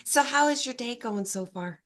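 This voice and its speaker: A woman hosting a podcast as an empowerment coach, upbeat and encouraging, her voice slightly hoarse from a cold. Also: on the high side, animated, normal speed, fairly bright tone. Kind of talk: scripted intro then interview chat.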